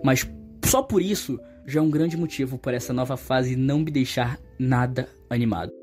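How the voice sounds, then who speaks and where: A young Brazilian man narrates a casual, opinionated video essay. His voice sits at a middle pitch, and his delivery is colloquial, with emphasis on criticism. Music plays faintly behind him. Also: moderate pace, a little mellow. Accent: Brazilian Portuguese. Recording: voice-over over background music.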